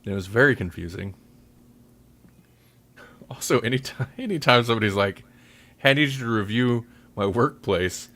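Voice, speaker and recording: A man's voice: mid-range voice, expressive, normal speed, pauses often, fairly bright tone. A man hosting a podcast, talking in a casual, rambling way with joking, self-deprecating asides. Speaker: podcast host.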